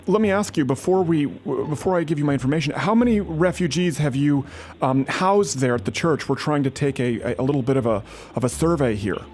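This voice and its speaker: A man's voice: a little high, fairly quick, a little mellow. A man making a prank phone call as an aid worker, pressing on persistently with mock earnestness. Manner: persistent, falsely earnest salesman-like pushing. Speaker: prank caller posing as refugee aid worker.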